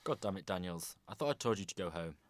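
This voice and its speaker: A man's voice: deep voice, animated, fast talker. A man playing a ruthless, contemptuous police chief in a radio drama, sardonic and menacing. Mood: angry.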